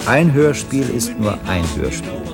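A man's voice: mid-range voice, big pitch swings, a little slow, vocal fry, over loud music. An actor playing an earnest man in an experimental radio play, reasoning dryly in circling repetitions. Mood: dry, reflective.

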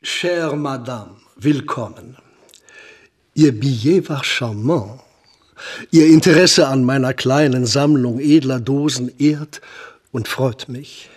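A man's voice: medium pitch, takes it slowly, close to an everyday voice. A man acting in a German radio play as an aging, courtly privy councillor with ornate, gallant phrasing, French flourishes and a macabre sentimentality. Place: studio radio production.

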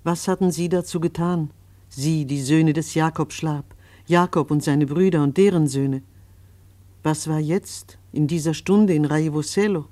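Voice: mid-range voice, fairly even intonation, a little slow, mellow, no echo. A narrator reading a radio drama script in a grave, reflective, literary manner.

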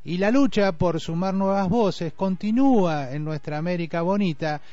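A man's voice: high-pitched, normal speed, warm tone, no echo. An Argentine man presenting a community radio programme from partly scripted notes. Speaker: radio host. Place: radio studio. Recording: dull radio audio with music bed.

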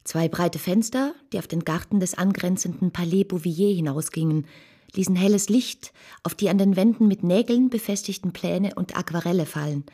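A reflective woman narrating her own past in a period radio drama. The voice is husky and slightly low, idealistic and literary in delivery. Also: normal speed, mellow. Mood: reminiscing. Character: reflective woman recalling her idealistic longings, 19th-century Paris.

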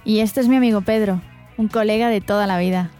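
A woman's voice: medium pitch, fairly even intonation, fast talker, mellow, faint music behind, lightly acted. An actress with an accent from Spain playing a coy, pensive woman with her lover in a radio drama. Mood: playful. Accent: Spanish, from Spain.

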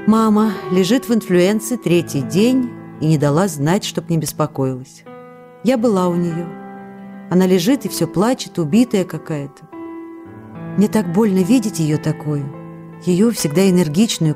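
A woman's voice: fairly low pitch, animated, moderate pace, natural voice. A woman playing a loving, worried actress wife who reads her letters in a radio drama. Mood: confiding, sad. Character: loving, anxious actress wife, tender and reproachful. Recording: music playing behind the voice.

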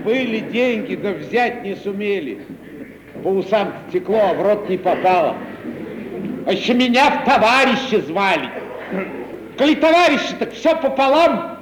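A man's voice: high-pitched, lively intonation, moderate pace. A man playing a tipsy, cheeky comic actor's sidekick who whines and needles, in an old Russian radio comedy. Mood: drunken, defiant. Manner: whining, needling, impudent. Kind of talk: 1950s Russian stage-comedy radio acting. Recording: echoey, dull, hissy 1950 radio recording.

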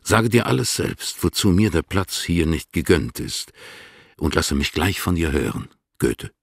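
A stately older man of letters speaks in a very deep, husky voice, close and dry. His delivery is solemn, measured and formal, with a composed longing, as in a German literary radio play. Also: very animated, dry recording, heavily acted. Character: stately, patriarchal older male poet, composed yet longing.

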